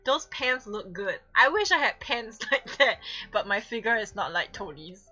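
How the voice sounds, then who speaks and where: Female streamer, bright and highish voice, giggly dramatic story reader, casual asides, slightly muffled audio over music. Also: expressive, normal speed. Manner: giggly, theatrical, often breaking off from the script. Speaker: streamer reading aloud. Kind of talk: dramatic fan fiction reading with casual asides. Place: live online stream.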